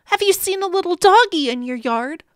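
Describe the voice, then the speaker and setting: A woman's voice: extremely high pitch, expressive, normal speed, no echo, studio quality, voice pushed up. A woman voicing an eager, pleading little boy critter in a children's storybook. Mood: eager, searching. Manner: earnest, pleading. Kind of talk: children's storybook read-aloud acting.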